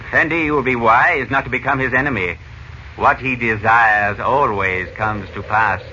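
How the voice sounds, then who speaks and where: An actor playing a sly, servile yet menacing desert messenger in a 1940s-style radio serial, speaking formally and ingratiatingly. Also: male voice, mid-range voice, normal speed, bright, forceful tone. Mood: ominous, formal. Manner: formal, ingratiating, veiled threats. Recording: dull lo-fi old radio, faint noise.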